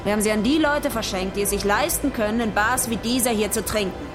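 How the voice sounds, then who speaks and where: A woman's voice: medium pitch, quick pace, music in the background, lightly acted. A woman playing a cynical, foul-mouthed stateless ex-American trader in a German radio drama, blunt and opinionated.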